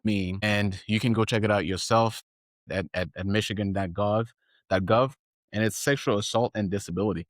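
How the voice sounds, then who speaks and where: Low-voiced man, earnest podcast host. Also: moderate pace, no room sound, studio quality, faint music behind. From Haiti. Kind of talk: reflective solo podcast talk.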